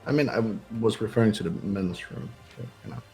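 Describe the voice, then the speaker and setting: A man's voice: on the low side, fast, vocal fry, a little mellow, soft background music. A young man chatting casually as a player in a group game playthrough. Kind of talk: casual chat.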